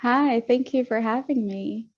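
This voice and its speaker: A woman's voice: on the high side, a little slow. A young woman from Tanzania, a podcast guest, talking reflectively about her life with frequent ums and restarts. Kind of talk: casual interview talk. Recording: muffled lo-fi audio.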